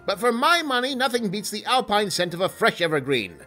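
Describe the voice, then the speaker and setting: Theatrical high-voiced man pitching sarcastically. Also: big pitch swings, brisk, sharp tone, faint music behind. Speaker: comedy sketch host. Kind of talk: mock infomercial presentation.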